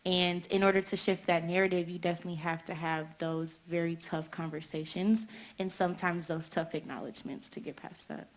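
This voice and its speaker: A woman's voice: lowish voice, fairly even intonation, brisk, low-quality recording. A young woman speaking earnestly on a panel, with many fillers and "you know". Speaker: student panelist. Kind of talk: panel discussion.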